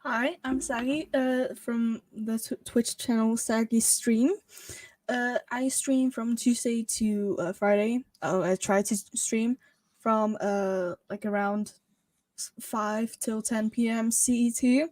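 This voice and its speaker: A woman from Switzerland with a Swiss accent, a guest streamer chatting casually, giggly and halting with many fillers. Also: a little high, steady tone, a little mellow, dry recording. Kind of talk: casual chat.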